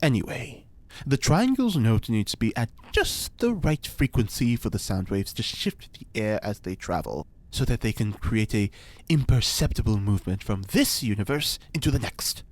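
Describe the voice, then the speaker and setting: An actor playing an eccentric, pompous ex-cult leader and scientist in an audio drama, speaking formally and precisely with dramatic pauses. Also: male voice, medium pitch, very animated. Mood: earnest. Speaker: fictional scientist being interviewed.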